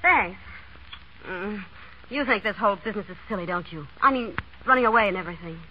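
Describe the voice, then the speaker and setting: An actress playing a spoiled, candid, headstrong young runaway heiress in a 1930s radio drama. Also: female voice, medium pitch, expressive, fairly quick, a little hiss or hum, natural voice. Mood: chatty, candid. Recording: muffled, dull 1930s radio broadcast.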